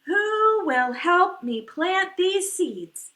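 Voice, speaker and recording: A woman storyteller plays a plucky, hardworking storybook hen with a high, bright voice. The phrases are singsong and drawn out, in an echoey room. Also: big pitch swings, extremely slow, exaggerated voice. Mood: hopeful, calling. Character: industrious, resolute little red mother hen.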